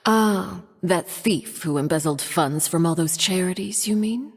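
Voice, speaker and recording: A woman's voice: on the low side, breathy edge. A woman playing a poised, calculating diplomat in a video game, speaking formally and politely with veiled menace. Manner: formal, measured, polite and elegant. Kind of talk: scripted video game voice acting. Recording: long hall reverb.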